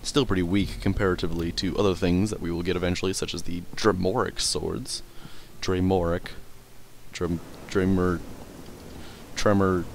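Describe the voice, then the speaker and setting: A man's voice: low-pitched, level tone, normal speed, gravelly, a little hiss or hum. A man doing casual let's play commentary, rambling, swearing casually and stumbling over hard words as he reads. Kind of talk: casual gameplay commentary and reading in-game text aloud.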